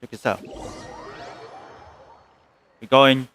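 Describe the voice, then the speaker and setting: Man, average pitch, casual livestream commentary, faint music behind. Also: fairly even intonation, drawn-out words, fairly bright tone. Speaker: live streamer. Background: game music.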